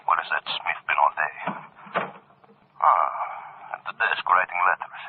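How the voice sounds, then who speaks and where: Very low, brooding inner voice. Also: male voice, measured pace. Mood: brooding, paranoid. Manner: hushed, clipped, brooding. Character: brooding, paranoid inner voice of a jealous man. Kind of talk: 1940s radio drama inner monologue. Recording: muffled, hissy old radio, telephone-like.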